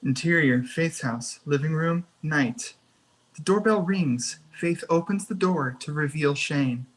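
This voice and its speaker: Slightly high-voiced man narrating screenplay directions. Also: animated, slow pace, rough recording. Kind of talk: scripted narration at a screenplay table read.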